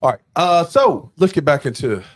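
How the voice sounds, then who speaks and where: A man's voice: on the high side, lively intonation, brisk. A man hosting a live podcast in an informal, conversational way, bantering casually. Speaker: podcast host.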